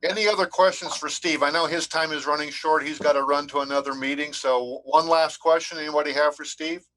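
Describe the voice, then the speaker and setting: A man's voice: fairly high pitch, steady tone, fast, fairly bright tone, poor audio. A man hosting an online coffee meetup over video call, thanking the guest and closing politely.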